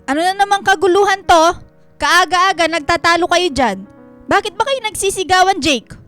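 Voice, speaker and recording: Radio drama mother, played by a woman: middle-aged Filipina-accented, animated, fond scolder, extremely high pitch over music. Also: moderate pace. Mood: scolding. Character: nosy, teasing, fond mother and godmother. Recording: music bed under voice.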